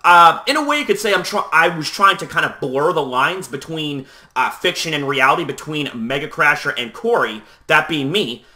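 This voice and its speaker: A young man hosting a video channel, talking casually to the camera at a deliberately lowered volume, loose and chatty with lots of "you know". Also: expressive, bright, forceful tone. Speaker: YouTube host.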